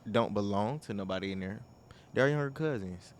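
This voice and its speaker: Young man, low voice, earnest casual talker, light background noise. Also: normal speed. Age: young adult. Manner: earnest, emphatic, informal. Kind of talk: casual chat.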